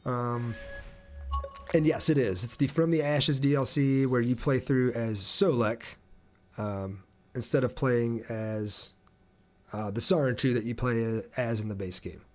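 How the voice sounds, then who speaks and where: A man with a mid-pitched voice commentates on his own game livestream. He talks casually, thinks aloud as he plays and chats in a friendly way with his viewers. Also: unhurried, darkish tone. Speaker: game streamer. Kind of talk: casual livestream gameplay commentary with chat replies.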